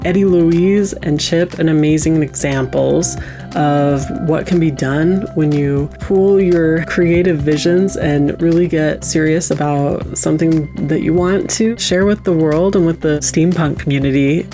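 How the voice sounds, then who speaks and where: A woman with a low, soft-edged voice hosts a podcast. She speaks in an effusive, encouraging and conversational way, with faint music in the recording. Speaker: podcast host. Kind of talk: warm, appreciative interview chat and sign-off.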